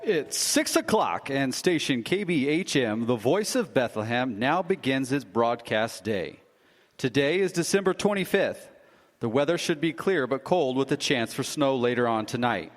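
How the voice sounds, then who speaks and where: An actor playing a cheery man radio station announcer in an old-time radio drama, with a lively announcer delivery. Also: medium pitch, moderate pace, long reverb tail, close to an everyday voice. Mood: upbeat. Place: stage before a live audience.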